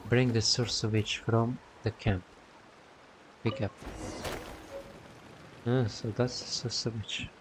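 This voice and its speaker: A man's voice: medium pitch, little pitch movement, takes it slowly, pauses often, background noise. A man giving casual gameplay commentary, reading bits aloud and muttering puzzled asides to himself, often repeating phrases. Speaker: game streamer. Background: game music.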